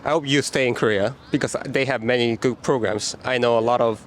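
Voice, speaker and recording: A man conducting casual street interviews in English, asking quick follow-up questions in a chatty way. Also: medium pitch, fairly even intonation. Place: city street outdoors.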